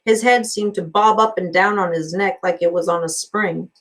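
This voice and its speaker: A woman, a teacher, reading a children's novel aloud in an expressive storytelling style. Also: fairly low pitch, moderate pace.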